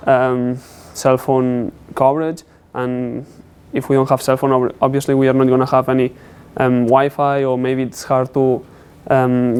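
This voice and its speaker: Young guy presenting his tech project, clear smooth voice, kinda hesitant. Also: mid-range voice, darkish tone. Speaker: student presenter. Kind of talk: technical capstone presentation.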